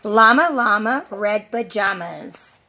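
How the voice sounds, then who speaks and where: A middle-aged woman, a preschool teacher, reading a storybook aloud to young children in an expressive, sing-song way with drawn-out phrase endings. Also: medium pitch. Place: outdoors on a covered porch.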